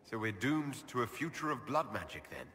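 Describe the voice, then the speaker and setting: A man voicing a level-headed, dryly teasing elf leader in a video game, with a husky voice and measured delivery. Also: mid-range voice, very animated, bright, forceful tone, slight background noise. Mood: calm, dry. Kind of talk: video game dialogue acting.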